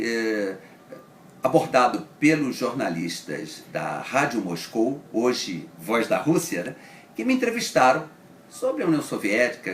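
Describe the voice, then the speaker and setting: A middle-aged or older Brazilian man, a journalist, recollecting his past in a casual interview. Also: mid-range voice. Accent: Brazilian Portuguese. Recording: echoey room, faint background noise.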